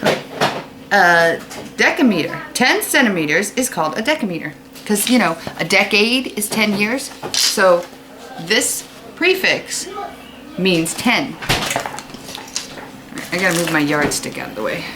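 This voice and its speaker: A woman's voice: medium pitch, expressive, a little slow, pauses often, background noise. An American woman teaching children in a read-aloud lesson, explaining informally and putting questions to her young listeners. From United States. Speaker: fifth-grade teacher.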